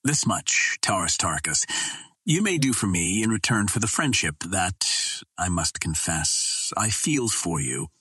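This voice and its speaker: A man's voice: low voice, big pitch swings, a little slow, bright, forceful tone, breathy edge, no echo, full character voice. A man voicing an earnest, gallant adventurer hero with formal, old-fashioned, insistent speech in an audiobook.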